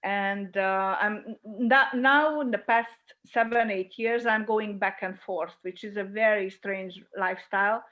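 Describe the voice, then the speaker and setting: A middle-aged woman talks in a thoughtful, searching way and pauses to find her words. The audio is a dull, lo-fi video call with her voice at a middle pitch. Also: fairly even intonation, fairly bright tone. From Hungary. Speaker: guest, filmmaker. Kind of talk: casual interview chat.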